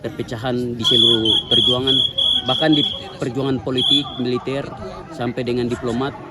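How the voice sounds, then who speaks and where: A man's voice: medium pitch, flat delivery, normal speed. A man with a Papuan Indonesian accent making a public statement as a local organisation leader, recorded outdoors. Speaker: newly installed local chairman. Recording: outdoor recording with crowd noise.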